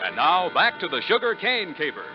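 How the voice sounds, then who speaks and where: A man's voice: a little high, wildly swooping pitch, fairly quick. A man working as a radio announcer, reading an advertisement and programme link in 1940s broadcast style. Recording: dull 1940s radio recording with music.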